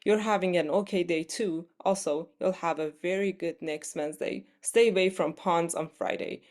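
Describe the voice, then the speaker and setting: A woman's voice: on the low side, steady tone, normal speed, darkish tone, lightly acted. A woman reading the part of a matter-of-fact female fortune teller in an absurdist play.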